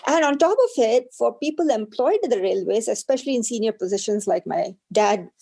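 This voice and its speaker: Bright-voiced woman. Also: fairly high pitch, animated, fairly quick, creaky. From India. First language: Telugu. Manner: opinionated, reflective, plainspoken. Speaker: guest. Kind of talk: casual interview conversation.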